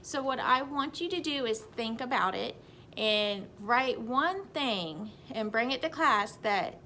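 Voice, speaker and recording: A woman with a fairly high voice reads and talks to young children like a teacher. Her delivery is expressive and slow, with faint noise behind it. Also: poor audio. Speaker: teacher reading to pupils. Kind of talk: children's storybook read-aloud and talk.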